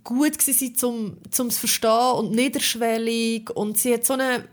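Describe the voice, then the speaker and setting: A woman's voice: fairly high pitch, fairly even intonation, normal speed. A woman with a Swiss German accent chatting casually and enthusiastically as a podcast host. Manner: informal, enthusiastic. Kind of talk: casual chat.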